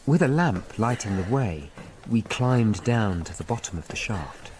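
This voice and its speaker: An actor playing a troubled Tudor lawyer, narrating a murder hunt gravely in a radio drama. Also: male voice, lowish voice, animated, unhurried, darkish tone, a little hiss or hum. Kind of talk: scripted first-person narration in a radio drama.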